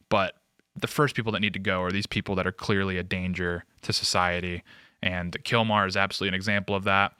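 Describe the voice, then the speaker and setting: A man's voice: lowish voice, bright, forceful tone, smooth, no echo, studio quality. A man hosting a political commentary show, talking casually and off the cuff in a sarcastic, mocking way.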